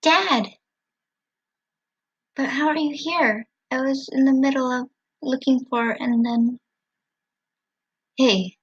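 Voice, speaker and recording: A woman voicing a gentle, hesitant skeleton brother in a fan comic dub. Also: sits high, slow pace, long pauses, slightly rough, no echo, muffled recording, full character voice. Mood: bewildered.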